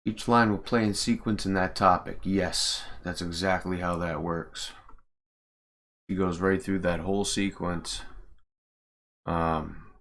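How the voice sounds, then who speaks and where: A man with a very low, fry-edged voice talks through his work on a casual stream. He speaks slowly and often mutters to himself as he goes. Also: fairly even intonation, a bit bright. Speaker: streamer, game mod creator. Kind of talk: casual livestream tutorial commentary.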